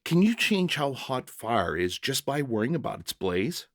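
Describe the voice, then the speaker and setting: A man voicing a kind, serene male prisoner in a story reading, speaking softly, slowly and with tender reassurance. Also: medium pitch, sing-song, dry recording, studio quality. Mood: earnest, kind. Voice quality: soft, kind voice. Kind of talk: dramatic short-story reading.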